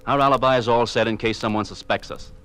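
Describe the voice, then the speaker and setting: A man's voice: mid-range voice, fairly even intonation, quick pace, a bit bright, a little hiss or hum, natural voice. An actor with an American accent playing a cold, resolute male ringleader of bombers in an old-time radio drama. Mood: businesslike, tense. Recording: vintage radio recording.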